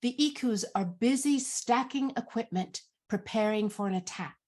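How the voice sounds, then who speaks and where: A woman reading screenplay directions as scripted narration in a measured, descriptive manner. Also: fairly high pitch, a little mellow.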